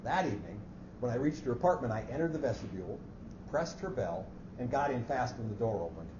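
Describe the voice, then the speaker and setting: A man's voice: medium pitch, expressive, fairly quick, lightly acted. A man playing a fussy, precise office clerk who coolly plots murder, speaking formally in a staged story reading. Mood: scheming. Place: echoey hall at a live reading. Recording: muffled, noisy, echoey hall recording.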